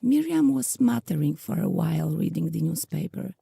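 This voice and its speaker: A woman narrating an audio drama as a storyteller, with a soft, very husky and creaky voice. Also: on the low side, big pitch swings, moderate pace, dry recording.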